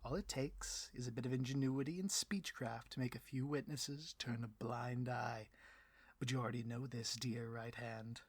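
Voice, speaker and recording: A man's voice: mid-range voice, fairly even intonation, normal speed, husky, no echo. A man playing a bloviating, petty supervillain boss in a comedic audio roleplay, with a pompous, melodramatic delivery and a taste for rants. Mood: scheming, smug.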